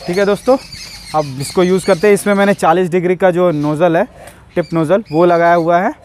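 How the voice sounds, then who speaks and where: A man's voice: high voice, fairly even intonation, fairly quick, a little mellow. A young man casually reviewing and demonstrating a pressure washer gun, chatting to his viewers as friends, recorded outdoors with the camera a few steps away. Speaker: product reviewer. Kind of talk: casual product demo. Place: outdoors in a yard, washing a car.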